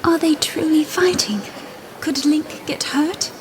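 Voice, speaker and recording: Actress as gracious young princess, very high pitch, measured pace, earnest, audio drama. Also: female voice, fairly even intonation, noisy background. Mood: worried.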